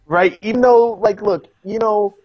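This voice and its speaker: A young man guesting on a video-call podcast, talking eagerly in quick bursts and laughing, recorded through a webcam in a small room. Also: high voice, highs cut off. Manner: animated, enthusiastic, fast bursts, laughing. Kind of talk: casual chat reviewing a TV episode.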